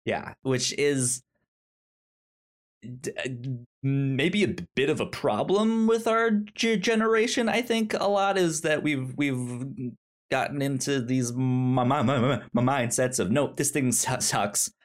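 A man co-hosting a podcast, chatting casually about film in a halting way, often stammering and repeating the starts of words. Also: medium pitch. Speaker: podcast co-host.

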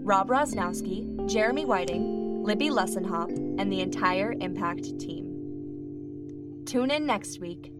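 A woman with an American accent reading scripted podcast credits as an announcer. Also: medium pitch. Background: loud guitar music.

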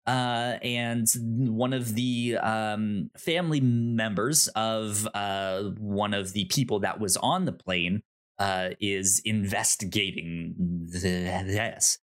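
Halting man co-hosting a casual podcast. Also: lowish voice, steady tone, a bit bright. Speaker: podcast co-host. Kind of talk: casual review podcast chat.

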